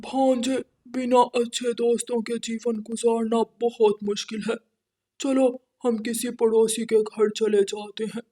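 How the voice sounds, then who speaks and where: A man's voice: extremely high pitch, little pitch movement, measured pace, dark tone. A man voicing a fussy old uncle crow in a cartoon, complaining and fretful. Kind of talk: cartoon voice acting.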